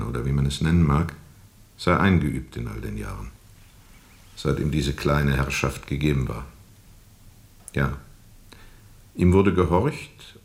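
Ein Schauspieler spielt in einem Hörspiel einen weltmüden, von dunklen Erinnerungen gequälten Flusskapitän, der mit rauer Stimme bedächtig erzählt. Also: male voice, a bass voice, vocal fry, a little mellow, exaggerated voice. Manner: deliberate, pausing and repeating for emphasis. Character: world-weary riverboat captain recounting dark memories, brooding and haunted. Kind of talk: radio drama monologue, slow storytelling.

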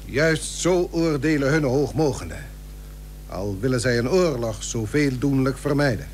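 An actor playing a grave, persuasive seventeenth-century shipowner and statesman in a historical radio play, speaking measured, formal archaic Dutch. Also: medium pitch, animated, darkish tone, close to an everyday voice. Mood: grave, indignant. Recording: old radio recording with hum and hiss.

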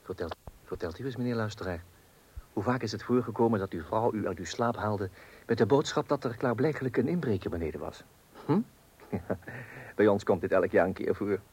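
A husky, fairly low male voice for a radio comedy: a gullible, long-suffering middle-class husband. He mutters hesitantly and repeats himself as he goes. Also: lively intonation, brisk, darkish tone. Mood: wry, confiding. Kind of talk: comic radio play acting.

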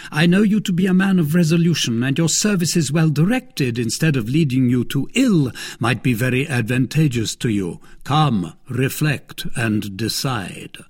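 Man voicing a commanding cardinal, lively swooping pitch. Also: slightly rough. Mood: pressing, stern. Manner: formal, measured, condescending. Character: grand, shrewd cardinal, commanding male statesman, persuasive and disdainful.

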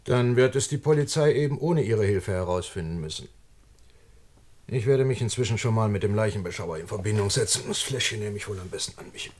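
A man acting a firm, sceptical doctor in a German radio play, with a measured, formal and pointed way of talking. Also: fairly low pitch, animated, darkish tone. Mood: stern. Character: firm, sceptical male doctor investigating a death.

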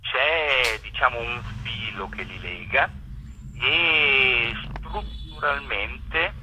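A man explaining a project as an interview guest over a telephone line, pausing to search for words. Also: medium pitch, pushed and bright, a little hiss or hum. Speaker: theatre maker interviewed as guest. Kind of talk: radio interview, explanatory talk. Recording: tinny, rough telephone line.

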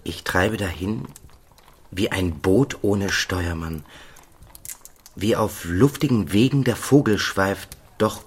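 An actor playing an untalented, excitable young medieval student poet, halting and full of self-pity, in a German radio play. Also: male voice, sits low, breathy edge, exaggerated voice. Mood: dreamy reciting. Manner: halting, melodramatic.

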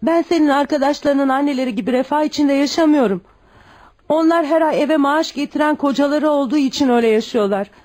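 A woman's voice: sits high, fast talker, darkish tone, close to an everyday voice. An actress playing a devoted, self-sacrificing widowed mother who works as a maid, pleading tenderly and emphatically in a radio drama. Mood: resigned, pleading. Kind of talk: scripted radio drama acting.

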